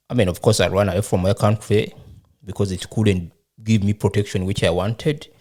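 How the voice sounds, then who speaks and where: A middle-aged man from Uganda answering interview questions about himself, speaking unhurriedly with frequent pauses and fillers. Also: lowish voice, darkish tone. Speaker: guest, charity founder.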